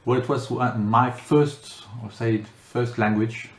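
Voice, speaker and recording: A man's voice: medium pitch, steady tone, slow pace. A middle-aged man from France answering interview questions, talking hesitantly with many fillers and restarts. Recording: roomy echo, faint background noise.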